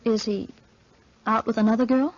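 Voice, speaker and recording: High, breathy, sweet young lady played by an actress. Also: fairly even intonation, moderate pace, lightly acted. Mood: hurt, worried. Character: Ora, sweet, naive, polite well-bred young lady. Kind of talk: 1940s radio drama acting. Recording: muffled 1940s radio recording.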